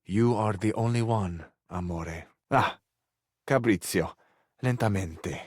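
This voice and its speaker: An actor playing a flowery, sensual, effusive Italian suitor who flirts overzealously in a video game, with an Italian accent. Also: male voice, fairly low pitch, takes it slowly, darkish tone, no echo, studio quality. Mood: amorous, flirtatious.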